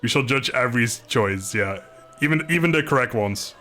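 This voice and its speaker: A man's voice: medium pitch, lively intonation, fairly quick, pushed and bright. Casual male game streamer, music behind. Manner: informal, joking, mild swearing. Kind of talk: casual live gaming commentary, chatting with viewers.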